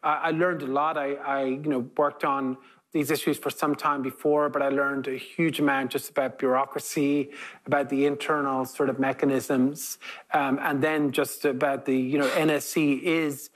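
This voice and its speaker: Irish man around fifty, flat even delivery, panel guest. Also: medium pitch, normal speed. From Ireland. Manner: fluent, steady, frequent fillers. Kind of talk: panel conversation.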